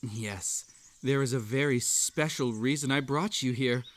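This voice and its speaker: A man playing an obsessive, unhinged magical hare hosting a tea party in a roleplay audio, with a theatrical, halting delivery that swings between sweet coaxing and menace. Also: mid-range voice, close to an everyday voice. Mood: doting, obsessive. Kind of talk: roleplay audio acting, intimate second-person address.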